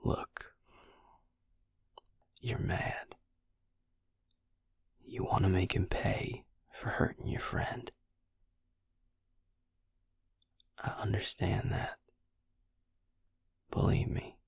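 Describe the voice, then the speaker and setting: A man acts out a protective, wry male vampire in an intimate audio roleplay. His voice is low and unhurried, sunk into a heavily fried, husky half-whisper. He sounds exasperated yet close, speaking straight to the listener in a dry, tight space. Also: level tone, no room sound, exaggerated voice. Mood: grave, earnest. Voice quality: low, gravelly, breathy near-whisper.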